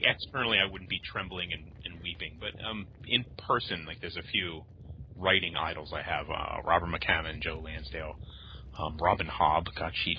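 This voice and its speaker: A man chatting casually as an interview guest, joking wryly and haltingly. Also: low voice. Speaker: guest author. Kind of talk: casual humorous interview chat. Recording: dull lo-fi audio, faint noise.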